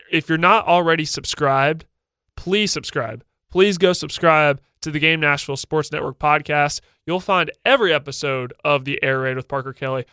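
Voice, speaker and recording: A man's voice: fairly high pitch, bright, forceful tone, smooth, no room sound, studio quality. A young man hosting a solo sports radio show, slightly nasal and congested from a head cold, talking in a confident, opinionated way.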